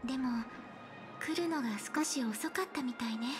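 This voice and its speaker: A woman playing a gracious, gentle young celebrity singer in a Japanese video game, speaking with polite, graceful phrasing. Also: high voice, normal speed, music in the background, close to an everyday voice. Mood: apologetic.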